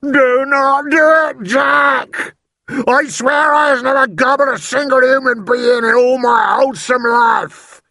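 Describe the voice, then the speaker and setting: A man's voice: extremely high pitch, fairly even intonation, takes it slowly, creaky, pushed and bright, raised voice, pitched above the performer's own voice, exaggerated voice. A man voicing a huge brutish giant in a storybook, bellowing and screaming in terror with long pleading wails. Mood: desperate pleading. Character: terrified huge brute giant having a nightmare, bellowing.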